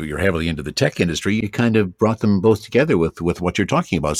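A man's voice: low voice, dry recording, clean studio sound. A man hosting a podcast, chatty and friendly as he thanks listeners and introduces his guest. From Canada. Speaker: podcast host. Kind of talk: podcast interview and thank-yous.

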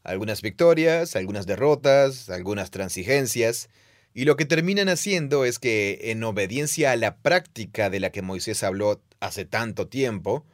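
A man co-hosting a casual conversation, explaining ideas in an animated way and laughing easily. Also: lowish voice, normal speed, no room sound, clean studio sound. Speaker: podcast co-host, Bible teacher.

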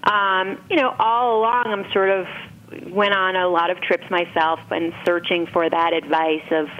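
A woman's voice: lowish voice, level tone, a little slow. A woman talking casually as an interview guest, explaining things with frequent conversational filler phrases. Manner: explanatory, often interjecting "you know". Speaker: interview guest, food writer and entrepreneur. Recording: muffled, band-limited with clear background noise.

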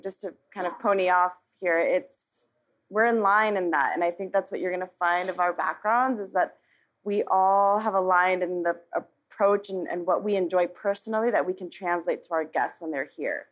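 A woman on a live panel speaks in a lowish voice. She is measured and steady, with frequent "um"s. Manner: measured, with "um" fillers.

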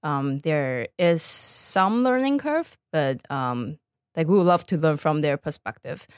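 Low-pitched Taiwanese-accented woman, close dry sound. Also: expressive, measured pace, clean tone, no room sound. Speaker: startup founder, podcast guest. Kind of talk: conversational interview answers.

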